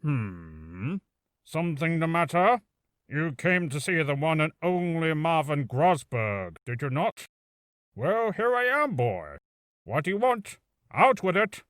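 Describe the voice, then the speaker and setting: Man acting pompous older male lawyer, blustery, nostalgic, slow delivery, animated pitch. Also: no echo, studio quality, exaggerated voice. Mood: blustering. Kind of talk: video game character acting.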